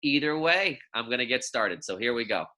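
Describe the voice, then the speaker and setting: Friendly teacher doing a read aloud for little kids, really bright clear-cut voice, informal and encouraging. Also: mid-range voice, animated, normal speed. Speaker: elementary school teacher. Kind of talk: read-aloud lesson for young children.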